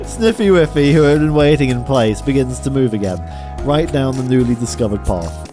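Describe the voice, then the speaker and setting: A man's voice: mid-range voice, animated, fairly quick. A man streaming a visual novel, reading it aloud theatrically and adding casual commentary. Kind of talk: live narration with casual commentary. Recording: game music behind the voice. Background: video game music.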